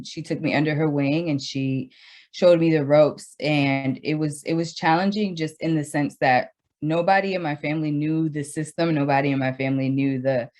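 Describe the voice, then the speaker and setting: A woman in her thirties, an educator from the Dominican Republic raised in Brooklyn, talks through her story in a radio interview with a lot of fillers, sometimes speeding up and sometimes slowing down. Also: low voice, a little mellow. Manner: conversational storytelling with many fillers and restarts, speeds up and slows down. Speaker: educator, guest.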